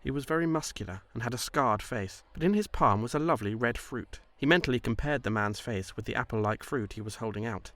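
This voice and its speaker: A man reading scripted story narration in a measured, literary delivery. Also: medium pitch, a bit bright, dry recording.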